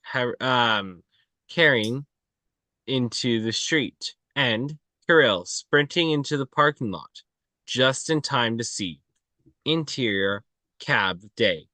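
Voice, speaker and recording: A man reading screenplay action lines aloud, halting and breaking them into short phrases. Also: mid-range voice, sharp tone, dry recording. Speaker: table read participant.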